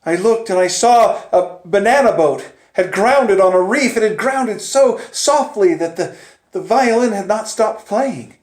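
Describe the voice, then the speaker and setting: A man telling a dramatic first-person story in a theatrical manner, moving between hushed tones and urgent cries. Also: sits high, animated, measured pace. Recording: echoey, roomy sound.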